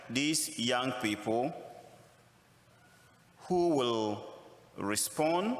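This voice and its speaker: Slow Ghanaian priest in echoey church. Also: male voice, medium pitch, a bit bright. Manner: slow, deliberate, with humor. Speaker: visiting priest. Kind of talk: missionary appeal homily. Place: large church.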